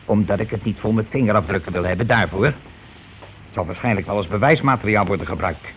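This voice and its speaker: An actor playing a blunt, self-righteous working-class husband in a radio play, grumbling, bossy and rather pompous. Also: male voice, lowish voice, close to an everyday voice. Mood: bossy, suspicious. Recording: noisy old radio play recording.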